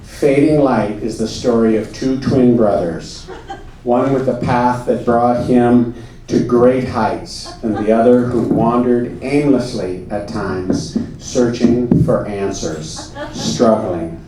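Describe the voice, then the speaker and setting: A man narrating a comedy puppet show on stage with mock solemnity, deadpan, pompous and halting, in a very echoey, distant recording. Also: medium pitch, slight background noise. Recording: very echoey, distant mic, music behind.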